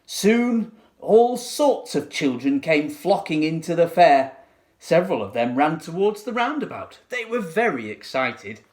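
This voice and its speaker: Guy reading a kids book out loud, very up and down, dramatic teacher style, bit high pitched. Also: very animated. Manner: dramatic, deliberate phrasing. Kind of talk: expressive children's storybook narration.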